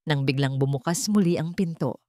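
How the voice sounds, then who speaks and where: A woman's voice: low voice, brisk, dark tone, no room sound, studio quality. A woman storyteller reading a scripted romance story in a dramatic narrating style.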